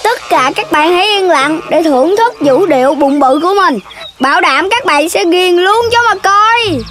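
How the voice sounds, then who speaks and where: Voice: very high-pitched, expressive, normal speed, fairly bright tone. An actor voicing a childlike, lazy, boastful chubby little pig in a children's cartoon, whiny and full of exclamations. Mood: showing off. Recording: music behind the voice.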